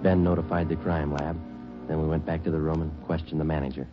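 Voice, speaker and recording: An actor playing a stoic American homicide detective in a 1940s radio drama, deadpan, terse and businesslike. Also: male voice, very deep voice, fast. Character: stoic, matter-of-fact male homicide police detective. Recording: dull old radio recording, music behind.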